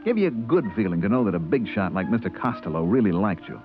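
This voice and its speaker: An actor playing an easygoing spaceship purser in a 1950s radio drama, with a colloquial manner. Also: male voice, lowish voice, big pitch swings, fast. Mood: reflective narrating. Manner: colloquial, hesitant in dialogue. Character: easygoing spaceship purser recounting his voyages. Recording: tinny vintage radio broadcast, music behind.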